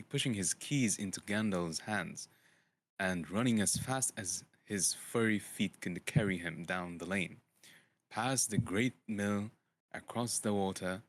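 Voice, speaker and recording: A man giving an informal dramatic storybook narration, stumbling now and then as he reads aloud. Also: fairly low pitch. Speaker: audiobook reader, streamer.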